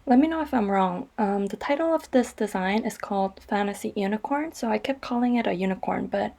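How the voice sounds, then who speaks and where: A woman narrating her cross-stitch vlog in a chatty, rambling way, her voice a little raspy and stuffy from allergies. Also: medium pitch, fairly quick, darkish tone. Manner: chatty, rambling, frequent ums. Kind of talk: casual voice-over vlog narration.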